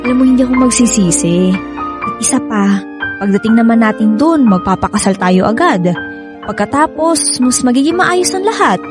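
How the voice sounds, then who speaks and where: A woman playing an excited, loving young bride-to-be who teases her friend, in radio drama style with a Filipino accent and a casual, expressive delivery. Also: fairly high pitch, normal speed, music in the background, close to an everyday voice. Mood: reassuring, affectionate.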